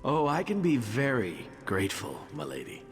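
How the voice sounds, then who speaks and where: An actor playing a cocky, flippant knight champion in a fantasy video game, with a wry, glib, theatrical delivery. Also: male voice, mid-range voice, huge pitch swings, normal speed. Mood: wry, flirtatious. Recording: long reverb over background music.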